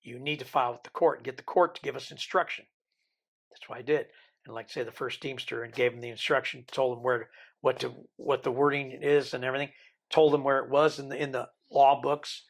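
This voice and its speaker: A man in his sixties, from the Isle of Man, with a Birmingham accent softened by decades in America, telling his life story in an interview with rambling, joking asides. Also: medium pitch, fairly even intonation, a little fast, creaky. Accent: American-tinged Birmingham English. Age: 60s. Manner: rambling, joking, self-deprecating. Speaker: interview guest.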